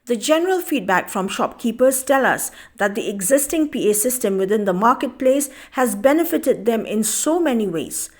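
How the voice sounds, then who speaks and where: News voice-over, female, high voice, Northeast Indian accent, reading from a script. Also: lively intonation, moderate pace, few pauses, slight background noise. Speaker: news narrator.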